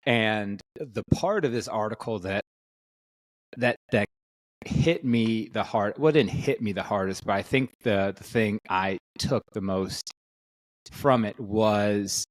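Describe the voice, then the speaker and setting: A man's voice: lowish voice, takes it slowly, dry recording, rough recording. A man who is a fitness coach, talking as a podcast guest in an earnest, reflective way, often restarting his sentences. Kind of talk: podcast discussion.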